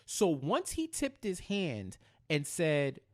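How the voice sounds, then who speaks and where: An American man with a fairly high voice chats as a podcast co-host. He talks in an animated, slangy way, repeating phrases for emphasis and slipping into quoted dialogue as he recaps TV drama. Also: slow pace. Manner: acts out characters' lines in slangy speech, repeating phrases for emphasis. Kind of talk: casual, animated TV recap chat.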